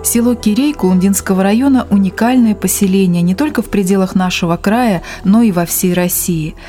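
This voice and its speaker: A woman's voice: mid-range voice, warm tone, soft background music. Woman narrating a documentary report. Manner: measured, formal.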